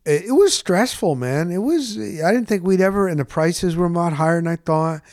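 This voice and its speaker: A middle-aged man with a slightly high voice talks off the cuff like a sports podcast host. He rambles informally from one story to the next, cutting into his own sentences. The sound is close and dry. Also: normal speed, no echo. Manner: informal, animated storyteller with self-interruptions. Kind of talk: casual rambling monologue.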